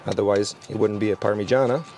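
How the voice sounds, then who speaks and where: A young man cooking outdoors at a barbecue, relaxed and explaining each step as he works. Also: fairly low pitch, fast. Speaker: cooking show chef. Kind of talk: cooking demonstration. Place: outdoor garden by a barbecue grill.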